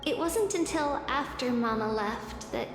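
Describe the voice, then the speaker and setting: An actress voicing a sweet, childlike girl in a video game, gently and wistfully reading a letter like a story. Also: high-pitched, expressive, a little slow. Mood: wistful, tender. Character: sweet childlike girl who speaks of herself as we. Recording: echoey game voice over music.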